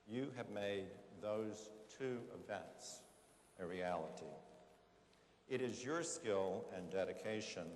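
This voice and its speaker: An American man, a judge, giving formal opening remarks to an audience in deliberate, measured phrases with pauses. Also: lowish voice. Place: large historic courtroom. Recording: echoey hall, faint background noise.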